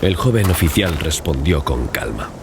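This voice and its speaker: A man's voice: very deep voice, sing-song, normal speed, background noise. A man reading a scripted first-person story as audiobook narration. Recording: music behind the voice. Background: clear background music.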